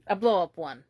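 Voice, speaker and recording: A woman's voice: medium pitch, mellow, husky, dry recording. A woman giving sarcastic, incredulous political commentary from the United States. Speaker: commentary host.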